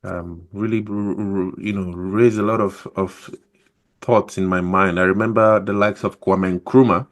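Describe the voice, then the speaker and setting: A man hosting an interview over a video call, speaking in a halting, reflective way. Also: deep voice, steady tone. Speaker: host.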